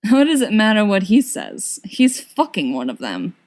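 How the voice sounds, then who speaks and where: A woman's voice: mid-range voice, wildly swooping pitch, normal speed, a little mellow. A meek young man read by a woman in a fantasy audiobook. He sounds unsure of himself and hesitant, dodging any firm opinion. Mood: sullen.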